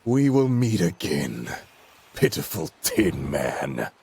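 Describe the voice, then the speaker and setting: An actor playing a contemptuous otherworldly villain in a video game, with a gravelly voice and an ominous, disdainful delivery. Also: male voice, low voice, very animated, slow, darkish tone, heavily acted. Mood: menacing.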